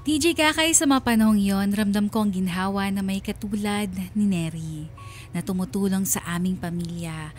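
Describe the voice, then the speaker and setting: An actress playing a troubled, pensive wife who narrates her letter in a radio drama, measured and sorrowful, with a Filipino accent. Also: mid-range voice, a little hiss or hum, natural voice. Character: wistful, uneasy wife narrating her letter about family abroad. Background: music.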